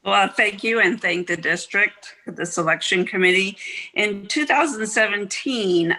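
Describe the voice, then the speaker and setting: An older woman giving earnest, measured introductory remarks over a video call, as if reading from notes. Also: on the low side, sharp tone, low-quality recording. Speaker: nominator introducing the honoree.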